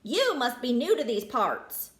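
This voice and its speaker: A woman voicing a little male prairie dog in a storybook, excitable and friendly, with emphatic delivery. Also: a little slow, reverberant. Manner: high, crying out, emphatic.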